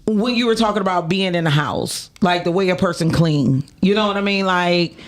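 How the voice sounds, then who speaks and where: A woman's voice: fairly low pitch, normal speed. A woman in her forties co-hosting a casual podcast, talking loosely with plenty of laughs and fillers like "like" and "um". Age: 40s. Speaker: co-host.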